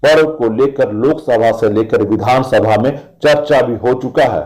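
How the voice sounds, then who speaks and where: A man reading a scripted district news report for radio in a measured, formal way. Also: mid-range voice, darkish tone.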